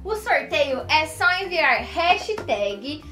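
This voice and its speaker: A woman's voice: high-pitched, very animated, slow, a bit bright. A young Brazilian woman hosting a children's show, playful and exuberant. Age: young adult. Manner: giggly, exuberant. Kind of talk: playful live show presenting. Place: indoor studio set with curtains. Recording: roomy echo, faint music behind.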